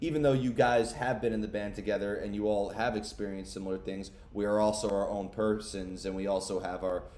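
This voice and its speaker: A male interviewer with a low, mellow voice asks long, rambling questions full of fillers. His voice carries the echo of a roomy space. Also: flat delivery, a little fast, hardly any pauses. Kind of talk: casual interview. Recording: echoey room.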